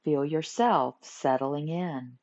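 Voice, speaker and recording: A woman teaching guided meditation, giving gentle instructions in short phrases with pauses between them. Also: deep voice. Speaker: yoga nidra meditation teacher. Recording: muffled lo-fi recording.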